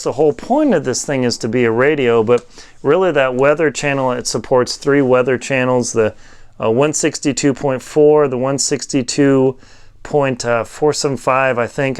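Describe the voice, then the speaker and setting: A man giving a casual, unscripted product review, talking in a halting way as he thinks aloud while demonstrating. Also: medium pitch, steady tone, clear, resonant tone.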